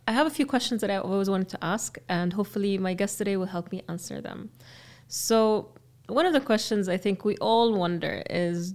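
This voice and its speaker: A woman's voice: mid-range voice, a little mellow. A woman hosting a podcast, chatting casually and asking many questions. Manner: friendly, asks many questions. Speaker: podcast host. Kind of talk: casual interview chat.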